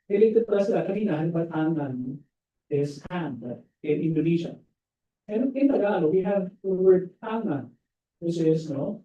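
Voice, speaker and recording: A man's voice: fairly high pitch, dark tone. A Filipino man in his forties giving a university talk, mixing languages and thinking aloud with frequent tag questions. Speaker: guest lecturer. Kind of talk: academic talk, code-switching. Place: university lecture hall. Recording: echoey lecture hall from far off, dull sound.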